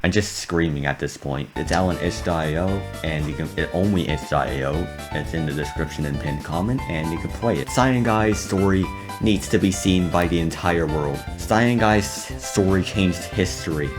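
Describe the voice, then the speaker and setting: Male gaming commentator, deep pitch, informal and chatty, clear music bed. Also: moderate pace. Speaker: video creator. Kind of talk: casual gaming video commentary. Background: background music.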